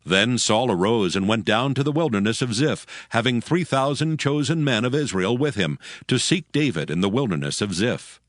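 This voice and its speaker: A man narrating a dramatized audio Bible in a solemn, measured storytelling style. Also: medium pitch, expressive, dry recording, clean studio sound.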